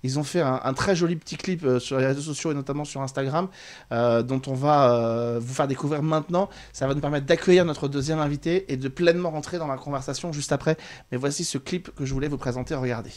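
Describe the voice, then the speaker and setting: A man hosting a talk show, speaking off the cuff with halting phrasing and repeated words. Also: mid-range voice, fairly even intonation, darkish tone. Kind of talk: unscripted studio presenting.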